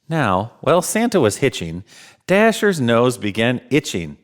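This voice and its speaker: A man reads a children's story aloud as a theatrical storyteller. His pitch is highly animated and mid-range, and he stretches words for emphasis. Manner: chuckling, theatrical, drawn-out emphasis. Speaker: storyteller in Santa persona.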